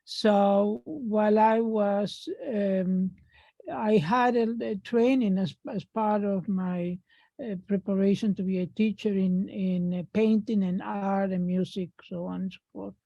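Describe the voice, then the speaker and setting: Older woman, Argentine Spanish accent, slow with many fillers, dull video call sound. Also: mid-range voice, fairly even intonation, dark tone, no room sound. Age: 60s. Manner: unhurried, pausing often with fillers. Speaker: artist guest. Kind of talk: remote panel talk.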